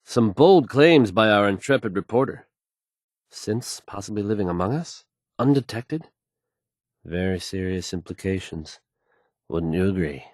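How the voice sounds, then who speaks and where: A man acting a confident, smooth-talking, folksy old-time radio DJ for a video game. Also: fairly low pitch, lively intonation, a little slow, pauses often, no room sound, studio quality. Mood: intrigued, confiding. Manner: assured, folksy, showman-like.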